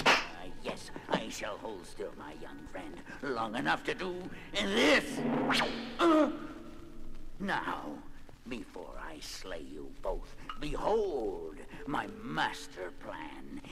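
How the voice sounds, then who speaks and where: An actor playing a grandiose, vengeful, half-blind underground villain in a theatrical, pompous comic-book audio drama style. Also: male voice, fairly low pitch, wildly swooping pitch, creaky, noisy background, full character voice. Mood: menacing, gloating. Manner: theatrical, pompous, archly menacing, drawn-out delivery. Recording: loud music under the voice.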